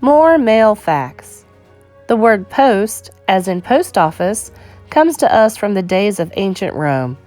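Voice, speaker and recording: A woman reading an educational children's picture book aloud in a measured, clearly phrased storytime delivery. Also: medium pitch, big pitch swings, a little mellow, soft background music.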